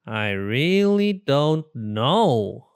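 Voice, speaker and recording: A storybook reader voicing a worried, exasperated little rabbit, protesting with expressive emphasis. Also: mid-range voice, drawn-out words, smooth, exaggerated voice.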